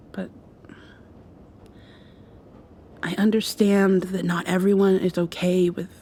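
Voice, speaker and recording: A gentle young vampire girl played by a woman talks to her girlfriend in an intimate ASMR roleplay. Her voice is mellow and fairly low, and she takes her time, tender and thoughtful, pausing as she confides. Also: a little hiss or hum. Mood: tender, hesitant. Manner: soft, tender, unhurried, thoughtful pauses.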